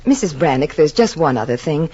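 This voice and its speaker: A frank, chatty officer's wife in an old radio play. Her voice is lowish and husky, rising and falling freely. The broadcast sounds muffled. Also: sing-song, brisk, mellow, exaggerated voice. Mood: hesitant concern. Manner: chatty, candid, hesitant when advising. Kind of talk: 1940s radio drama acting.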